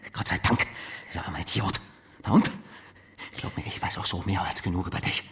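Radio play cool threatening impostor, gravelly n low, keeps it quiet. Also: male voice, steady tone, brisk. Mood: contemptuous. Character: menacing, cool male impostor posing as husband. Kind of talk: radio drama acting.

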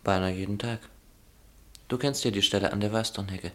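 An actor playing a guarded, ironic young upper-class son in a radio drama, with a clipped, dryly teasing way of talking. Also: male voice, fairly low pitch, fairly even intonation, fairly quick, close to an everyday voice. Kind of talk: German radio drama acting.

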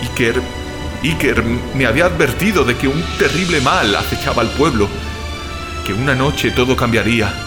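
A man's voice: mid-range voice, moderate pace, lightly acted. A male actor with a Spanish accent from Spain playing an alarmed, defiant, sarcastic brother in an audio drama. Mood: uneasy, brooding. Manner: agitated, incredulous, sarcastic. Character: alarmed, defiant, sarcastic younger-generation brother, bewildered and foul-mouthed. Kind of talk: Spanish audio fiction acting with inner narration. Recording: dramatic music bed under the voice.

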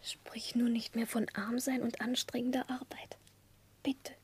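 A very high-voiced young fiancée from a vintage radio drama. She is childish and easily frightened, pouting and pleading like a spoiled girl. The sound is close and dry. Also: little pitch movement, measured pace, a little mellow, slightly rough, no echo. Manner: girlish, pouting, whiny pleading. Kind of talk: 1960s German radio drama acting.

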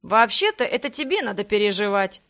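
A woman's voice: medium pitch, sing-song, brisk, fairly bright tone. A woman voicing a venomously sarcastic young nobleman in a fantasy audiobook, with mocking, teasing irony. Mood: gleeful mockery.

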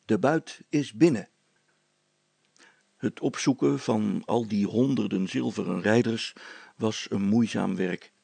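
A man's voice: lowish voice, vocal fry, warm tone, slightly rough, no echo. A man narrating a storybook as a scripted audiobook reading.

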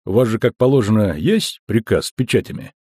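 A man voicing a sly, unhurried customs officer who speaks slowly and clearly, with folksy mockery, in an audiobook. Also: on the low side, animated, darkish tone, no room sound, clean studio sound. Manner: slow, clear, drawling, mockingly folksy.

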